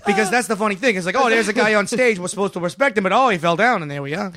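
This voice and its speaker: A man playing a very old vaudeville showman, cheerfully confused and rambling haltingly in an improvised comedy interview. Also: high voice, sharp tone, no echo, clean studio sound. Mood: earnest coaching. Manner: rambling, halting, easily sidetracked. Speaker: guest performer.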